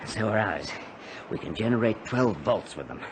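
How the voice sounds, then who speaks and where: An actor playing a gruff, determined castaway adrift at sea in a radio drama. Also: male voice, lowish voice, animated, normal speed, lightly acted. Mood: excited, commanding. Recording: noisy radio drama recording.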